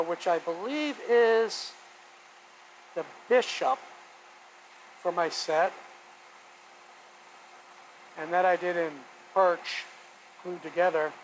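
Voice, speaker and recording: Slow, rambling workshop streamer of unclear sex. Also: mid-range voice, low-quality recording. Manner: rambling, folksy, thinking aloud. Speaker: live stream host, hobby woodworker. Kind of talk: casual live stream chat while working. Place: home woodworking workshop. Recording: steady vacuum noise behind voice. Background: shop vacuum running.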